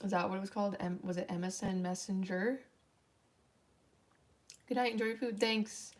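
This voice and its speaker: A young woman with a naturally raspy voice, worn thin from staying up late. She rambles through tangents with lots of "like" and "um," chatting easily to her viewers at a moderate pitch. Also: normal speed. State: tired, voice wearing thin late at night. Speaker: livestreamer. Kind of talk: late-night casual stream chat, reading chat aloud.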